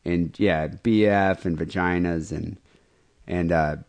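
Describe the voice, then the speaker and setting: A man's voice: deep voice, fairly even intonation, slow. A man hosting a comedy podcast with casual, irreverent banter and mock apologies. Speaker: podcast host.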